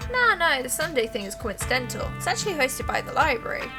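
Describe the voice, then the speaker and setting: A friendly small-town local woman from a visual novel, read out by a woman streamer. She is talkative and folksy, with a rather high voice. Music is clearly heard behind her. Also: very animated, fast. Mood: friendly, chatty.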